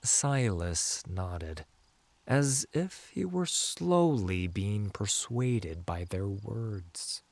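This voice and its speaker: A man narrating a scripted fantasy story in a theatrical, measured and emphatic way. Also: fairly low pitch, expressive, darkish tone, no echo. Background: music.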